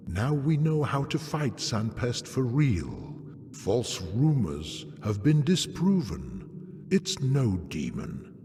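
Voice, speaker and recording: A man playing a grim, sardonic observer in a video game cutscene, speaking in a deliberate, theatrical way with pointed questions. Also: medium pitch, a little mellow, exaggerated voice. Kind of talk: dramatic scripted game cutscene acting. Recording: long cavernous reverb.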